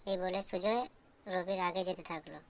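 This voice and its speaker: A woman's voice: on the low side, brisk. A woman working as narrator, reading a scripted cartoon story. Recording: clearly noisy background.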